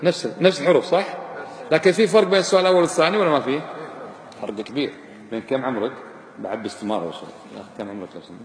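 Fast-talking male preacher in an echoing hall. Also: medium pitch. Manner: didactic, emphatic, with rhetorical questions and repetition. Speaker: Islamic preacher and teacher. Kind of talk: informal religious lesson, mixing formal and colloquial Arabic. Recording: echoey hall with long reverb.

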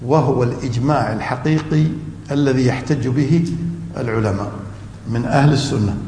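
A man's voice: mid-range voice, vocal fry, a little mellow. Man lecturing in an echoey hall. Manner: quizzes students with prompts, repeats key terms. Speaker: religious teacher. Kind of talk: classroom lecture. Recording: echoey hall with faint room noise.

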